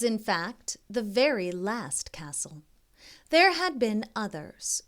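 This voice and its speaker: A woman tutor reads a children's picture book aloud at an unhurried pace. Her pitch rises and falls in lively swings, and she often breaks off to check in with the young listener in an expressive, guiding way. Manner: expressive, pausing to ask and prompt the listener.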